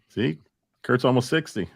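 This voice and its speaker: A man's voice: medium pitch, expressive, takes it slowly, creaky, slightly rough, no room sound, clean studio sound. A man in his fifties hosting a video game podcast in a casual, chatty way. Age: 50s. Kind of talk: casual chat.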